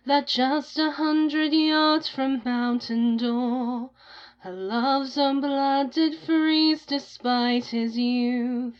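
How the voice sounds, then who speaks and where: A woman performing a solemn, mournful lament in lyrical verse, with muffled sound. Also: sits high.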